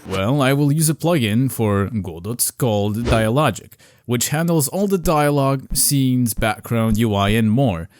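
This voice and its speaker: A man's voice: medium pitch, expressive, a little slow, hardly any pauses, darkish tone, dry recording. A man narrating a scripted but casual video devlog in a self-deprecating, sarcastic way.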